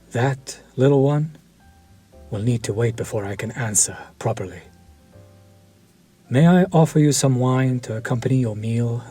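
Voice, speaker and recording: A man voicing a suave, courteous vampire chef who speaks formally and softly, sometimes near a whisper. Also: medium pitch, breathy edge. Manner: slow, formal and soft-spoken, dropping to near-whispers. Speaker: voice actor. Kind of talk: audio roleplay to the listener.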